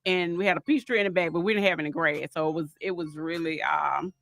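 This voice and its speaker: Middle-aged woman, warm folksy guest telling stories. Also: lowish voice, fairly even intonation, fast, gravelly. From Alabama. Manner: homey, laughing storyteller. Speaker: author and guest. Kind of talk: casual interview storytelling.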